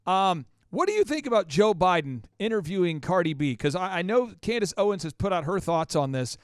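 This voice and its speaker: A man's voice: on the high side, clean tone, dry recording, studio quality. A man hosting an opinionated interview show, speaking emphatically and repeating phrases for insistence. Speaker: podcast host.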